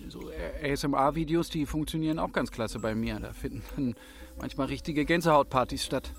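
Male interviewee, actor, candid, hesitant, mid-pitched, radio drama over a faint music bed. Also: natural voice. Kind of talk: naturalistic radio drama dialogue.

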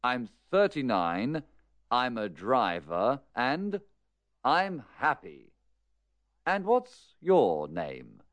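An actor playing a cheerful Dutch driver in his late thirties, speaking with very slow, deliberate textbook delivery. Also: medium pitch, very animated, a bit bright, no echo, heavily acted. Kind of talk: scripted language course dialogue.

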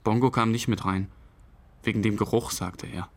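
A man's voice: fairly low pitch, steady tone, normal speed, a little mellow, natural voice. An actor playing a numb, bewildered bereaved boyfriend, telling his story interview-style in a radio drama.

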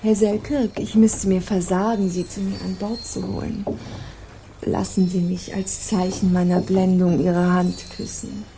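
A woman playing a courtly, flattering princess in a radio drama, speaking in ornate, ceremonious and effusively polite phrases. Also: mid-range voice, a little slow, slight background noise, lightly acted. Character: courtly, flattering princess visiting a pirate ship. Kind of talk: German radio drama acting.

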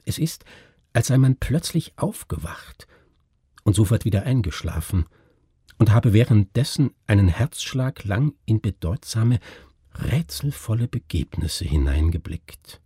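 A brooding, oversensitive man, acted out by a male reader, confides uncanny thoughts in a very husky, dark voice. He searches intensely for words, with big swings in pitch, as in a dramatic storybook reading. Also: big pitch swings, measured pace, dry recording, clean studio sound, heavily acted. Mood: musing, mysterious.

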